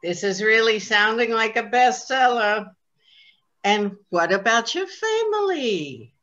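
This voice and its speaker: An eager, loving old grandmother acted by a woman in a comic play reading. She speaks slowly with very lively swings of pitch and coaxes fondly. The sound is a little dull, like a video call. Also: full character voice. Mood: coaxing. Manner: affectionate, coaxing, uses Yiddish pet names.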